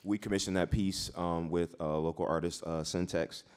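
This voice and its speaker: Very deep-voiced man on a panel. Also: fairly even intonation, a little mellow. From Detroit. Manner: halting, many fillers and repeats. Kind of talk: panel discussion.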